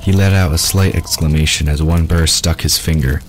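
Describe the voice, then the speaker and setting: An extremely low male voice narrates a scripted story. He reads as the narrator of an audio drama, with faint ambience and noise behind him. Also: fairly even intonation, moderate pace.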